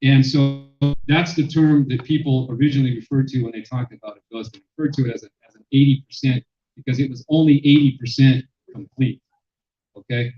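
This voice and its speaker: A man giving a public lecture as a sociologist, with a loud voice and many fillers and restarts. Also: mid-range voice, fairly even intonation, fairly quick. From Mexican-American. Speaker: sociologist presenter. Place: lecture room. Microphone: handheld microphone, then none. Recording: echoey hall, dull sound.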